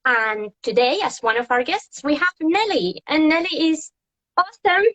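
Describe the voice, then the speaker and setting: A woman's voice: high-pitched, normal speed, a bit bright, low-quality recording. A woman hosting a casual interview as a tour guide and food historian, chatty and laughing often.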